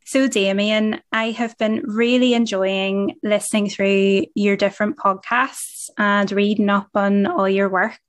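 A chatty woman hosts a podcast from her home setup. Her voice sits at a middle pitch, and she laughs often as she talks. It sounds like a video call. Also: fairly even intonation, unhurried, hardly any pauses. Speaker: podcast host. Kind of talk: casual podcast chat.

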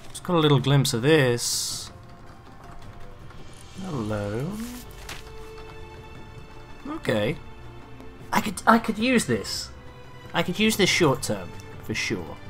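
Bright-voiced raspy gamer man. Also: mid-range voice, slow pace, long pauses, faint music behind. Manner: chatty, excitable, swears casually. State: raspy throat from a cough. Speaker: gaming video creator. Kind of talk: live gameplay commentary.

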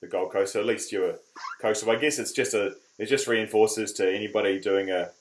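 A man's voice: fairly low pitch, steady tone, a little fast, a loud crowd, low-quality recording. A man hosting a sailing podcast, asking questions in a chatty, folksy way. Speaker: podcast host. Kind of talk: casual interview.

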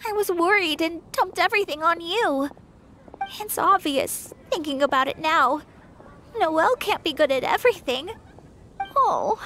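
Lovelorn young townswoman, anime game: very high, hesitant, doubtful, over faint ambient noise. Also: fairly bright tone, heavily acted. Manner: hesitant, sighing. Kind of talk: anime-style video game dialogue.

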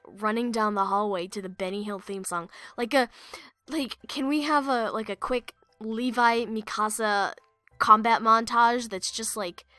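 A woman's voice: fairly high pitch, sharp tone, no echo. A young woman amateur voice actor rambling casually between takes, saying "like" constantly.

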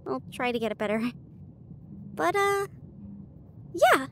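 A woman with a high voice plays an affectionate, bookish partner in an intimate audio roleplay. She speaks casually and chattily, pausing hesitantly between phrases. There is some audible noise behind her. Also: big pitch swings, a bit bright. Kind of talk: intimate acted roleplay monologue. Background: ambient train sound effects.

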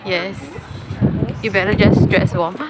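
A woman's voice: medium pitch, flat delivery, a little slow. A woman chatting casually to viewers while walking outdoors, laughing easily. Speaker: vlogger. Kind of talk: casual travel vlog narration. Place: outdoors on a windy city harbourfront. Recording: loud wind and street noise.

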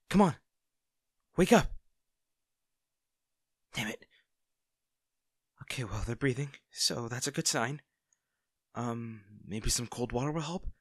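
A man's voice: medium pitch, fairly bright tone, no room sound, clean studio sound. A man playing a friendly, caring young barista in an intimate audio roleplay, talking in a casual, hesitant and attentive way. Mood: alarmed. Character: friendly, caring young male barista, teasing and easily flustered.

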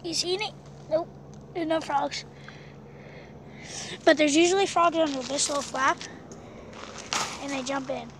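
A woman chatting casually in an outdoor vlog, filming by a pool on a handheld phone, loose with many fillers and dropping quiet while she searches. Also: high voice, fairly even intonation, measured pace, long pauses, background noise. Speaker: vlogger. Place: outdoors by an abandoned pool on rural land. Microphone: handheld camera phone filming the water.

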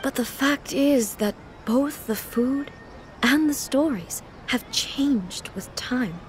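A woman voicing an ageless goddess ruler in a video game, speaking in a calm, formal, measured way. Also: high voice, a little hiss or hum, rough recording. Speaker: game character. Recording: game cutscene with background music. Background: game music.

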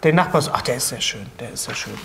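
A man's voice: medium pitch, animated, quick pace, creaky, background noise. A middle-aged man from Germany chatting casually and jokingly as host of an interview show. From Germany, grew up around Bottrop. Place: small indoor talk-show studio.

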